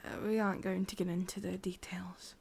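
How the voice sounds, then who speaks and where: A woman's voice: on the low side, flat delivery, brisk, gravelly, a little mellow, a little hiss or hum. A woman with an English accent playing a possessive, sadistic ancient witch in a whispered ASMR roleplay, coaxing and controlling. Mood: wistful, menacing.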